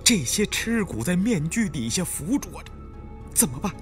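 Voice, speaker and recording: A man's voice: high voice, sing-song, normal speed, soft background music, pitched above the performer's own voice. A man voicing a frightened, polite male advisor in an audiobook, trembling and faltering. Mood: terrified.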